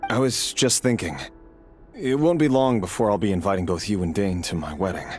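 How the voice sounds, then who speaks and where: Fantasy game role for a male actor: sardonic royal enforcer, very low voice, wry, uneasy, self-justifying, light music underneath. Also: fairly quick, natural voice. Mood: sly. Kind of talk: dramatic video game voice acting.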